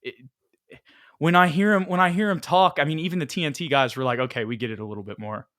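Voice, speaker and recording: Bright guy riffing on a sports podcast, laughs a lot, super dry close audio. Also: fairly high pitch, quick pace, no echo. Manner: animated, laughs often, quotes others in playful voices. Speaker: podcast co-host. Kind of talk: casual sports podcast chat.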